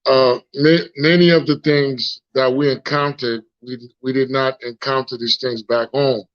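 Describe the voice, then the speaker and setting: A man's voice: medium pitch, steady tone, measured pace. A man in his forties from Haiti with a Haitian accent, a guest speaking frankly in a live interview and often repeating words as he searches for them. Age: 40s. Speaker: guest, founder. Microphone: phone. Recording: lo-fi phone audio.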